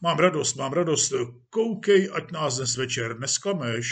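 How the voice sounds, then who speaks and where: A man's voice: fairly high pitch, expressive. Man acting pompous, hearty provincial police chief. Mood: hearty, patronizing. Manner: condescending, hearty, chummy. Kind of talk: acted audiobook dialogue.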